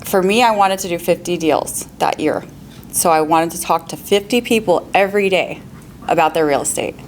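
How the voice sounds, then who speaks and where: A woman's voice: on the low side, moderate pace, a little hiss or hum. A woman, a real estate agent on a panel, giving upbeat, practical advice with frequent laughs and questions to the audience.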